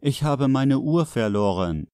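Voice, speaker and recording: A man's voice: medium pitch, lively intonation, unhurried, dark tone, clear, resonant tone, no room sound, clean studio sound. A man reading short scripted sentences as the narrator of a language lesson. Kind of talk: scripted sentence translations read out.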